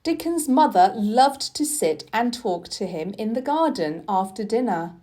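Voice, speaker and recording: A woman narrating a children's storybook for learners in a deliberate, expressive storytelling manner. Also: medium pitch. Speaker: audiobook reader.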